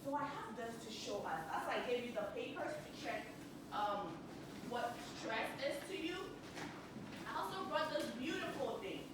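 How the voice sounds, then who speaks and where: A cheerful woman with a Ghanaian accent runs a small workshop, laughing often and drawing the audience in with questions. Her voice sits high. She is caught from a distance in a very echoey room, with steady noise behind her. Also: normal speed. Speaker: workshop speaker. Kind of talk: interactive stress-relief workshop. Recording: echoey room, mic far off, background noise.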